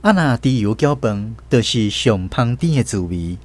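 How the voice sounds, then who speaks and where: A man with a Taiwanese accent giving expressive, scripted storytelling narration for a radio drama. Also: mid-range voice, unhurried. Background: plucked guitar music.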